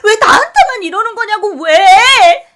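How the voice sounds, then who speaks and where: A woman voicing a wronged young daughter who wails and shouts at her mother while crying, her voice high and strained. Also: lively intonation, unhurried, heavily acted. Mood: anguished. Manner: wailing, shouting. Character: wronged grown daughter screaming in tears.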